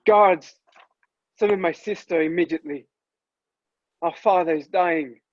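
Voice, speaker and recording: An intense young heir to Rome, played by a man at a table read, speaking slowly and earnestly in a fairly high voice. The delivery is theatrical and impatient, on a dry recording. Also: no echo, lo-fi sound, natural voice. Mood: commanding, impatient. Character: Roman emperor's son Commodus, intense, impatient prince. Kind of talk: dramatic table-read acting.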